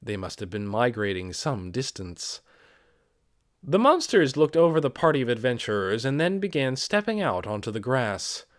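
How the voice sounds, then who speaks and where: A man reading a fantasy novel aloud as scripted audiobook narration, with expressive storytelling delivery. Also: medium pitch, moderate pace, no echo, clean studio sound. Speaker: amateur audiobook reader.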